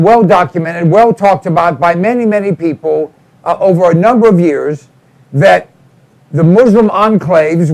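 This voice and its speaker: A man hosting a talk show, introducing his guest in a halting way with many fillers. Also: sits high, a little mellow. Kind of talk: casual talk show interviewing.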